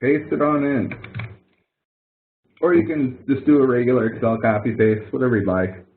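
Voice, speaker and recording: A man's voice: medium pitch, fairly even intonation, normal speed. A man leading an online help session as instructor, explaining things step by step in an informal, folksy way. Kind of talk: casual screen-share walkthrough of a spreadsheet exercise. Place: online web conference. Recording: muffled narrow phone-like audio.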